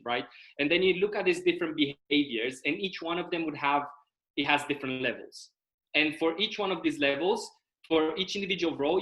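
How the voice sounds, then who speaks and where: A man's voice: a little high, sharp tone, rough recording. A young man from Romania, speaking as a guest over a video call, explaining at length and often checking in with "right?" and "okay?". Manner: explanatory, uses tag questions like "right?" and "okay?". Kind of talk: casual video-call interview.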